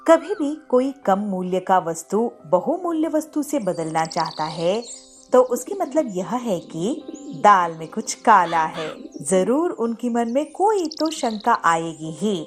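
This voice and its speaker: A woman explaining the moral of a story, with music behind her. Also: medium pitch.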